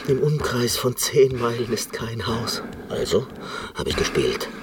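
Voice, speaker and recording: An actor playing a neurotic, reclusive and obsessive pianist in a radio drama, speaking with brooding, halting intensity. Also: male voice, mid-range voice, sing-song, heavy background noise. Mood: rapt reminiscence. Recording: radio play with music underneath.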